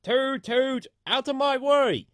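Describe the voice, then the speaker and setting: A man voicing a rude, impatient male bus, shouting in a pushy, boastful way. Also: extremely high pitch, slow, dry recording, studio quality, voice pushed up.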